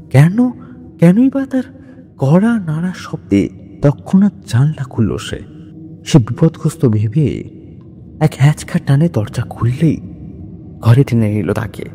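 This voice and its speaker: A man's voice: a little high, warm tone, slight background noise. A man narrating a scripted horror story in a dramatic style. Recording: long reverb with music bed. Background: music.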